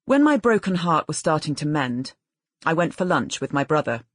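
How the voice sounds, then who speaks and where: Husky, expressive woman narrating. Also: deep voice, normal speed, no room sound, clean studio sound. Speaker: audiobook narrator. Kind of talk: literary audiobook narration.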